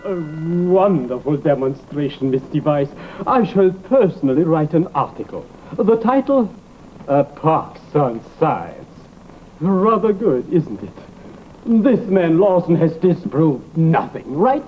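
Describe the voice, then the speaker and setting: Pompous, animated spiritualist. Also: male voice, on the high side, a little slow. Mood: self-satisfied. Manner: grandiose, self-satisfied. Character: pompous, self-promoting male champion of spiritualism. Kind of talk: old-time American drama acting. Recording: muffled, noisy vintage broadcast recording.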